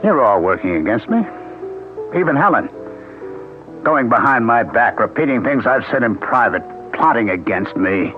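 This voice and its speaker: An actor playing Max, a paranoid, suspicious husband, bitter and sarcastic, in a 1970s radio drama. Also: male voice, mid-range voice, lively intonation, moderate pace, natural voice. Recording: tinny old radio broadcast with music.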